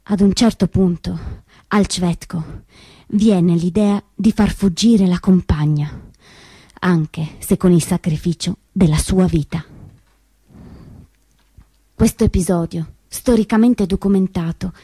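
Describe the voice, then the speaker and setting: A woman performing scripted theatrical storytelling, with dramatic, emphatic and deliberate phrasing that moves between hushed and declamatory. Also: fairly low pitch, steady tone, husky. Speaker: solo theatre performer and narrator. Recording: roomy stage sound, faint music behind.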